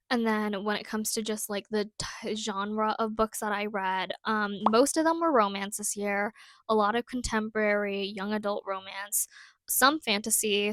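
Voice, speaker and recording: Young woman book vlogger with a cold; relaxed, chatty, mid pitch, dry close sound. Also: no echo. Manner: chatty, relaxed, many fillers. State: fighting a cold. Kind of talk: casual reading recap vlog.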